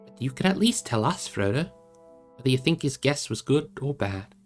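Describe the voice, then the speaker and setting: A man plays an inquisitive young male hobbit in a storybook. He is practical and brisk, speaking directly and probing for answers, a touch higher than the narration, over music. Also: big pitch swings, lightly acted.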